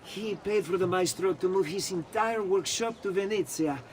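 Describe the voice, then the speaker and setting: A man's voice: high voice, normal speed, a little hiss or hum, natural voice. An actor playing an apologetic, polite townsman in a game cutscene. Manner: polite, informative. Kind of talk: dramatic game cutscene acting.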